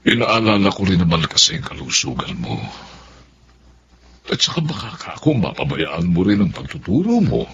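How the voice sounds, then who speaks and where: A low-voiced older Filipino father in a radio drama, caring and worried. His intonation rises and falls hugely. The recording is dull. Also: sing-song, moderate pace, a bit bright, full character voice. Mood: concerned. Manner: concerned, fatherly. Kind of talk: Tagalog radio drama acting. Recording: muffled audio with faint noise.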